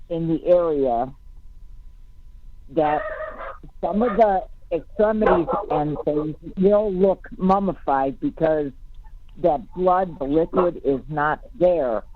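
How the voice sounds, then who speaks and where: Slow-talking man on a phone line. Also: a little high, a little hiss or hum. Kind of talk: conference call discussion. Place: telephone conference call. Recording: phone-like narrowband conference call.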